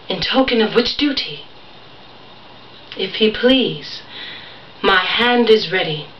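A woman performing a classical stage monologue as a proud Shakespearean wife, declamatory and deliberate. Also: on the high side. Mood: humbled. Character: proud Shakespearean wife preaching obedience to other women. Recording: echoey room, distant mic, muffled.

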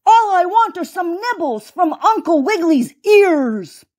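A woman's voice: extremely high pitch, big pitch swings, a little slow, a little mellow, pitched above the performer's own voice, heavily acted. A woman voicing a bad old alligator, greedy and bellowing, in a children's storybook. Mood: menacing.

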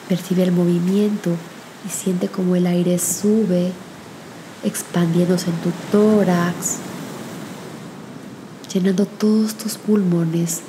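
A woman leading a guided meditation and prayer in a soft, gentle, soothing manner with long pauses between phrases. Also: on the low side, a little hiss or hum, low-quality recording.

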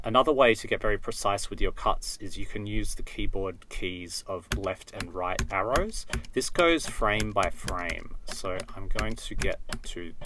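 A man giving an unscripted software tutorial, thinking aloud as he works through it step by step. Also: lowish voice, slight background noise. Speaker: video editing tutor.

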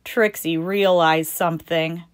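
Woman slowly reading a children's storybook. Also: fairly low pitch, smooth. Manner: deliberate, expressive storytelling for young children. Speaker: children's storytime host and reader. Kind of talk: scripted picture-book narration read aloud.

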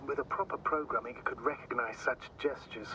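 An actor playing a calm, concerned mission commander in a video game, talking over radio in a measured, formal way. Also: male voice, medium pitch, whispered, poor audio. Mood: grave. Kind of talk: dramatic game dialogue.

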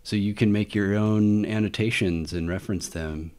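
A man's voice: lowish voice, steady tone, normal speed, a little mellow, clean tone. A man hosting a hobby tech video, talking informally and thinking aloud as he walks through things on screen. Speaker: host of a hobby tech channel. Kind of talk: casual screen-recording walkthrough. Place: indoor voice-over for a screen recording.

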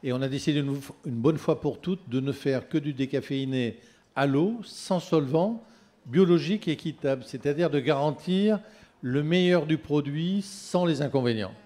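A man's voice: fairly high pitch, moderate pace, dark tone. A man, a foundation director, answering a question on a panel.

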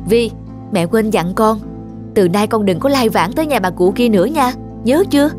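A woman's voice: fairly high pitch, moderate pace, close to an everyday voice. Cartoon mother, woman actor: protective, fretful, urgent scolding, music bed underneath. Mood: worried, scolding. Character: anxious, protective young mother of a little girl. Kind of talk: dubbed cartoon dialogue.

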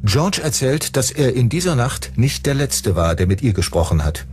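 A man's voice: mid-range voice, very animated, normal speed, darkish tone. A man reading scripted true-crime documentary narration as the narrator. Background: music.

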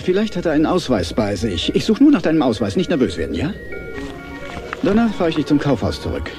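A man's voice: fairly high pitch, wildly swooping pitch, quick pace, music in the background. An actor playing a flustered father of a young boy, hesitant and stammering in his explanations, in dubbed film dialogue. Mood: alarmed, exasperated.

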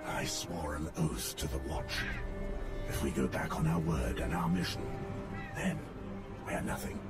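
An actor playing a grim, blunt veteran ranger in a fantasy game, terse and unbending. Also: male voice, extremely low pitch, unhurried, over loud music. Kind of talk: dramatic fantasy game acting.

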